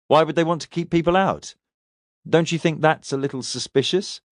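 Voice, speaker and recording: A man's voice: on the high side, normal speed, dry recording, studio quality, pitched above the performer's own voice, natural voice. A man voicing a sarcastic police detective who probes and taunts as he interrogates a suspect in an audiobook. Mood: needling. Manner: probing, taunting.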